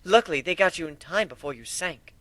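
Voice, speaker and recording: A man's voice: fairly high pitch, lively intonation, a little fast, fairly bright tone, no echo, voice pushed up. A man voicing a refined, fussy lady pony with a proper, theatrical manner in a cartoon fan story. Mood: concerned.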